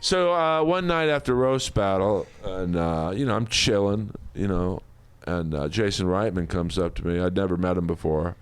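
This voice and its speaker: A man's voice: low voice, moderate pace, hardly any pauses, clean tone, no echo. A man bantering casually as a comedian on a podcast, trading stories and film references.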